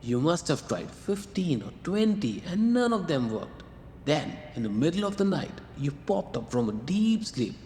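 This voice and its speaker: A wry, patient male muse, acted by a man in a radio drama, coaxing and gently teasing. His voice sits high and swoops about lively. It rings with a long reverb over faint noise. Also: measured pace. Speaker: the writer's muse.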